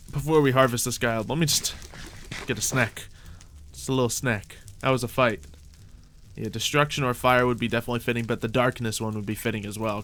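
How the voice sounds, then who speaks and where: A man's voice: mid-range voice, fairly bright tone. A man hosting a gaming video, thinking aloud in casual gameplay commentary.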